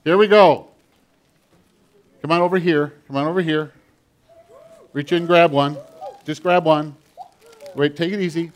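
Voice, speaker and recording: A man's voice: fairly high pitch, unhurried, long pauses. Older man preacher with a big voice. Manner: big voice, animated storytelling. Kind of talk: sermon with a children's message.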